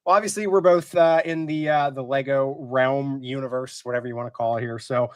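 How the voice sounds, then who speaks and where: A man's voice: medium pitch, steady tone, a little fast, no echo. Male host of a live video-call show, under the weather and sniffly, rambling friendly chat, dry close sound. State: stuffy from a cold.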